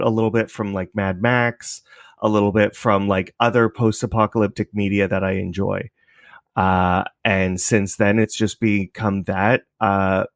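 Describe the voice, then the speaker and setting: Male interview guest from Canada; lower-leaning voice, casual, reflective delivery, dry sound. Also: no echo. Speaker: podcast creator, interview guest.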